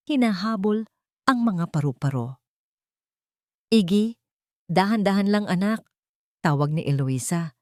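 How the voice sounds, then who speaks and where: A woman's voice: sits low, a little mellow, no echo, clean studio sound. A woman with a Filipino accent reading a scripted, dramatic love story as its narrator.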